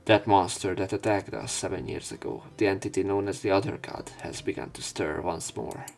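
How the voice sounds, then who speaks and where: Very low-voiced man narrating a game. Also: a little fast, gravelly, darkish tone, faint music behind. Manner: casual, sweary asides. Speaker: let's play narrator. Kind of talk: reading visual novel text aloud with casual asides.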